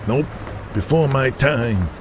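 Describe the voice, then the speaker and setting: A man's voice: medium pitch, animated, slow, noisy background. An actor playing a reluctant, irritable tough-guy wrestler, curt and dismissive, in an audio drama. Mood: irritated, reluctant.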